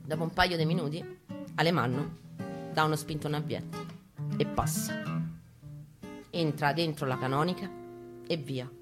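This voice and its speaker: A woman's voice: deep voice, unhurried, long pauses. A woman with a Roman accent performing an intense, colloquial spoken-word reading. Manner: intense, colloquial, profane delivery. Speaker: performer reading her piece aloud. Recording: live guitar under the voice. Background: acoustic guitar music.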